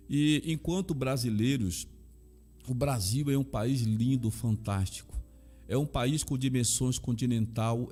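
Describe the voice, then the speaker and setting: A man's voice: mid-range voice, warm tone, breathy edge. Earnest Bahian man, emphatic. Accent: Bahian Brazilian Portuguese. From Salvador, Bahia, Brazil. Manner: emphatic, repeats key phrases. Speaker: chaplain and guest. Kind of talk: earnest conversational testimony.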